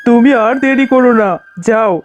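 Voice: mid-range voice, steady tone, normal speed, slight background noise, lightly acted. An actor voicing a poor, doting village father fox in a children's cartoon. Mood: fond, eager. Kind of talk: Bengali children's cartoon dialogue. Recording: muffled, narrow-band sound with music.

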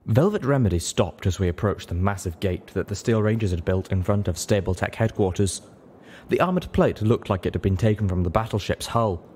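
A man's voice: on the low side, a little mellow, slight background noise. A man reading scripted story narration, in the manner of an audiobook. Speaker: audiobook-style reader, show host.

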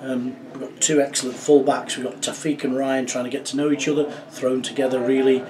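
A middle-aged man, a football manager, giving a measured, reflective post-match interview in a small club office, with many fillers and restarts. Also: mid-range voice, level tone, distant mic, crowd noise, low-quality recording. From England.

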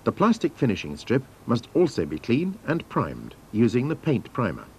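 A man's voice: mid-range voice, huge pitch swings, moderate pace, a little mellow, slight background noise. A man narrating scripted instructions for a training film in a formal, methodical manner.

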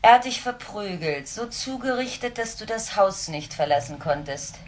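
An actress playing a determined, protective social worker in a radio crime drama, speaking urgently and insistently. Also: female voice, mid-range voice, animated, moderate pace, lightly acted. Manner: urgent, insistent, repeating key phrases. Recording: noisy radio drama recording with ambience.

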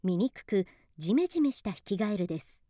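A woman's voice: mid-range voice, big pitch swings, brisk, mellow, dry recording, clean studio sound. A woman reading scripted storybook narration for a radio drama.

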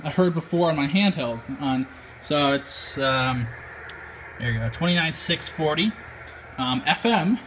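American man, fairly high voice, casual hesitant vlog talk, radio hobbyist, handheld camera in apartment room, noticeable background noise. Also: fairly bright tone. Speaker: amateur radio vlogger and operator. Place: small apartment room, indoors. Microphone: handheld camera mic.

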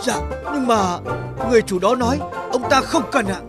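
A man performs a crafty young male thief in a comedy cartoon. He is meek and over-polite in manner, with loud music underneath. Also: very high voice, moderate pace. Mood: falsely humble. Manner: deferential, mock-polite. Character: sly young male cow thief feigning humility.

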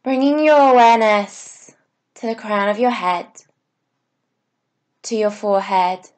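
A woman yoga teacher leading a guided meditation, giving soothing, unhurried instructions in short phrases with pauses. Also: mid-range voice, darkish tone, poor audio.

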